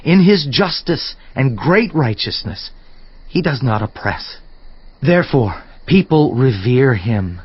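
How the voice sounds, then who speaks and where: An earnest biblical orator argues for the majesty of God with reverence, in a mid-pitched voice. It is dramatized scripture audio with a slightly dull sound. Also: male voice, big pitch swings, takes it slowly, husky, no room sound, exaggerated voice. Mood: reverent awe. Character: earnest man preaching God's awesome majesty in debate.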